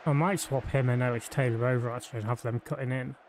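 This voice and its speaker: A man's voice: medium pitch, fairly even intonation, fast talker, a little mellow, a little hiss or hum. A man from Yorkshire streaming a football management game, chatting casually and thinking aloud with fillers and restarts. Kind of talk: live game commentary and chat.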